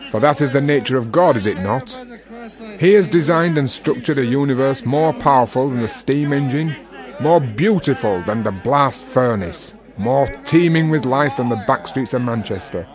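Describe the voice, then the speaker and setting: A man acts an earnest Victorian Freemason in a radio play. He is a working man who declaims like a lay preacher, in grand archaic phrases, at a middling pitch. Music plays clearly beneath his speech. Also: expressive, moderate pace, natural voice. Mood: preaching. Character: earnest, high-flown working-class Freemason of Victorian England. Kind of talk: radio drama acting. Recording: music under the voice.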